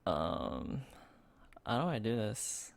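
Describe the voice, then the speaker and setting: A man's voice: on the low side, big pitch swings, slow, vocal fry. A man streaming a card game for the first time, thinking aloud with many fillers and restarts, close to his desk microphone. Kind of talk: casual gameplay streaming.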